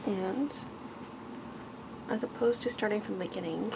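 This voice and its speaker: Soft, creaky-voiced woman reading ASMR bedtime story. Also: mid-range voice, normal speed. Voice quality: soft, breathy, often slipping into whisper. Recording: noticeable background noise.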